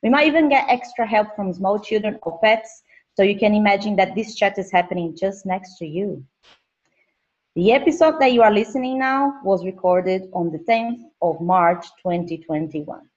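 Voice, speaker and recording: A woman hosting a podcast interview from home over a call, talking in halting, restarting phrases. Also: mid-range voice, dull audio. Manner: hesitant, restarts sentences. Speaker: podcast host, lecturer. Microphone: home setup over digital platform.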